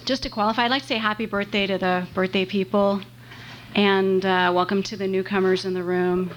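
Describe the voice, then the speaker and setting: A woman in her forties from the San Fernando Valley speaks into a podium mic at a meeting, chatty and self-deprecating, often stopping and restarting her sentences. The live room recording carries a faint hiss and low hum. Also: fairly bright tone. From Los Angeles, San Fernando Valley. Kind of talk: personal talk at a meeting. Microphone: podium microphone.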